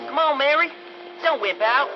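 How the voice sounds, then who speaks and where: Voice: high voice, expressive, moderate pace. A performer playing a daring, teasing country boy in a radio drama. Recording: dull old-radio sound, music behind.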